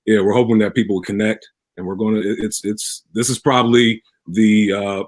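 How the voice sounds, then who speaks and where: Deep voiced guy being interviewed, stops and restarts while he thinks, dry sound. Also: fairly even intonation, brisk, no room sound. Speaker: pastor and radio drama producer, interview guest. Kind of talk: interview answer, conversational.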